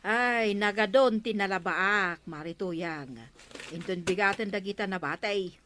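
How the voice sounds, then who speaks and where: A woman's voice: medium pitch, measured pace, a bit bright, slight background noise, lightly acted. A woman with an Ilocano accent playing a complaining village laundrywoman in a comic radio drama. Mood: fretful.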